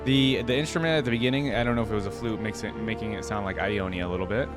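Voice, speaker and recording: A man's voice: fairly low pitch, fairly even intonation, fast, over music. A man giving casual, opinionated reaction commentary as a host, musing aloud in an informal way.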